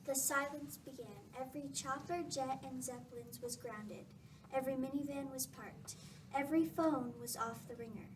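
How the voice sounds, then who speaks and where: A woman's voice: high-pitched, fairly even intonation, moderate pace, far from the mic, lightly acted. A woman delivering scripted, dramatic narration for a radio play. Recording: noisy, off-mic.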